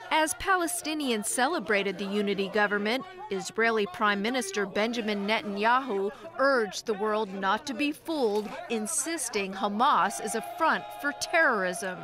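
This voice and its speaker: A woman's voice: medium pitch, normal speed, few pauses, crowd noise. A woman narrating a scripted news report as a television reporter.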